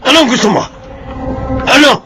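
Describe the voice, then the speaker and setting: A man's voice: very high voice, moderate pace, heavy background noise. A man playing a domineering, hot-tempered middle-aged father, stern and threatening, in a Filipino radio drama. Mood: furious.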